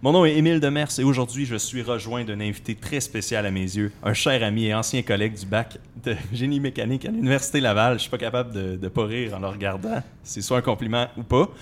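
A man's voice: medium pitch, fast talker, few pauses. A young man, hosting a podcast interview in a playful, joking way. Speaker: podcast host. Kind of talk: casual interview chat.

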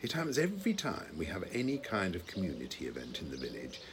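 Casting: actor as weary, exasperated husband for radio-style play. Animated intonation, noisy track with birds. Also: male voice, sits low, fast talker. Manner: weary, reproachful, dry. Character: exasperated, long-suffering husband of a fussy wife. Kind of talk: audio play acting. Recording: clear noise with birdsong.

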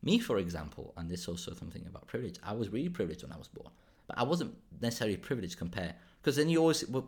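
A man's voice: sits low, husky. A man from southern Spain hosting a solo podcast, talking casually with many restarts and frequent tag questions. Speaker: podcast host.

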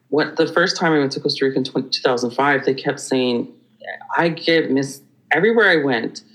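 A man from Hawaii, a podcast guest telling stories in an animated, rambling way with many restarts. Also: mid-range voice, low-quality recording. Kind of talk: conversational storytelling.

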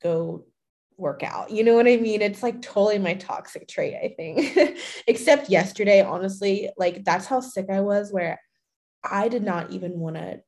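A young woman hosting a fitness podcast alone, chatty and full of fillers, her voice slightly hoarse from being sick. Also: medium pitch, moderate pace, a little mellow. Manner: chatty, many fillers, laughs often. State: slightly hoarse from a recent illness. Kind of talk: casual solo podcast talk.

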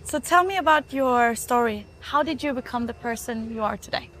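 A woman with a fairly high voice hosts an interview podcast. She presents and questions her guest in the role of interviewer. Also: lively intonation, moderate pace, a bit bright.

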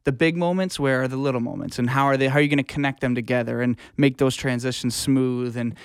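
A man's voice: mid-range voice, fairly even intonation, quick pace, clear, resonant tone, no echo, clean studio sound. A man speaking casually as a music producer being interviewed, explaining things informally with examples. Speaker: music producer and audio engineer guest.

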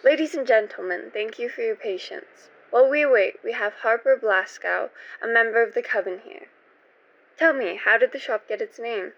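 A woman's voice: a little high, moderate pace, vocal fry, muffled recording. A woman hosting and narrating a scripted first-person radio theater piece in a theatrical, suspenseful manner. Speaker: host and narrator.

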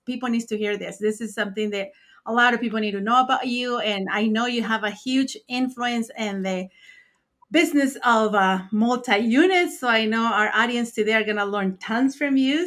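A woman's voice: fairly high pitch, fairly bright tone. A woman who speaks natively, hosting a podcast interview in an effusive, enthusiastic, conversational way. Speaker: podcast host.

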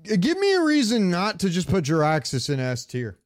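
A man talks casually as a podcast co-host. His voice sits a bit high, and the recording is dry and close. He rambles loosely from thought to thought. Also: huge pitch swings, fairly quick, no room sound. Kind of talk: casual chat about game voice acting.

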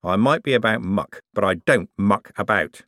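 A man voicing a gruff, rich businessman, who talks slowly and boastfully. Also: lowish voice, animated, fairly bright tone, no room sound, clean studio sound. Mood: indignant. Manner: boastful, blunt, slow and emphatic. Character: gruff rich working-class male businessman.

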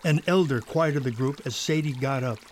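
A man's voice: medium pitch, normal speed, slight background noise. A man narrating a scripted children's story.

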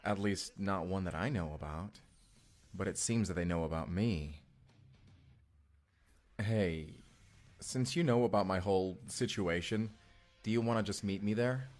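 A man's voice: low-pitched, measured pace, pauses often, a little mellow. An actor playing an earnest, anxious young man stranded from the future, talking in a hesitant, rambling, conversational way in an audio roleplay. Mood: intrigued, uneasy. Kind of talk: first-person audio roleplay acting. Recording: music behind the voice.

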